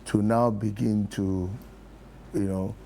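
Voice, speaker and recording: A Nigerian man speaking as an interview guest, explaining deliberately in short rhetorical phrases. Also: fairly low pitch, flat delivery, vocal fry, dark tone, slight background noise. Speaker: interview guest, theatre producer.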